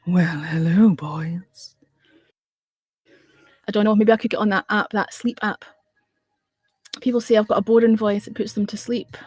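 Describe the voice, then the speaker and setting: A woman's voice: mid-range voice, fairly even intonation, normal speed, pauses often, darkish tone, breathy edge, no room sound. A middle-aged woman hosting a casual livestream, rambling and chatting in reply to viewer comments. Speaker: radio show host on a livestream.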